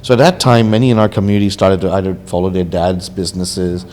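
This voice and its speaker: A man's voice: sits low, fast, a little mellow. A young man from Hong Kong, a social worker, speaking as a podcast guest and telling his life story in an earnest, candid way, with a lot of "you know" in between. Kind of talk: conversational interview storytelling.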